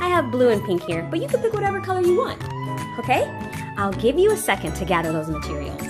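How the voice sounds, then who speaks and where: A woman hosting an interactive children's show, talking directly to young viewers in an exaggerated, animated manner. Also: on the high side, quick pace. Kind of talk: interactive children's read-aloud and science show. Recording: echoey room with music. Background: music.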